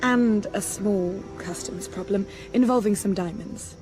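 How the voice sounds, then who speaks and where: A woman's voice: mid-range voice, measured pace, over music, close to an everyday voice. Woman acting as breezy, flirtatious socialite spy. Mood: playful. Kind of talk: naturalistic cinematic video game acting.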